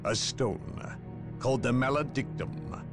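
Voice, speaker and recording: An actor playing a cold, secretive male inquisitor who speaks in a measured, coldly lecturing way, in a video game cutscene. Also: medium pitch, music in the background, close to an everyday voice. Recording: voice over orchestral game score.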